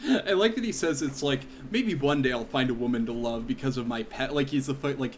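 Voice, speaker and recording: A man's voice: mid-range voice, brisk. Rambling, joking man on a podcast. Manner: joking, rambling, fond of filler words. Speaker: podcast co-host. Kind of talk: casual comedic chat. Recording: faint room noise, fans in background.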